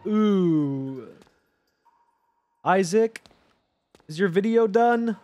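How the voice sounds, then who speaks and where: Young male streamer, high-pitched, swings all over, loud and sweary, headset mic. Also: drawn-out words, no echo. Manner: loud, swearing, joking, animated. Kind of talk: casual gameplay commentary with chat. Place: home gaming room, indoors.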